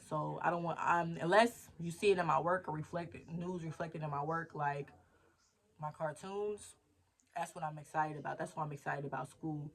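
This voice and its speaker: A young woman in her twenties speaks straight to her viewers in a casual diary vlog. Her voice has a gravelly, fry-touched texture. She rambles and thinks aloud, circling back to her goals and pepping herself up as she goes. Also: sits low. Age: 20s. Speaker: vlogger.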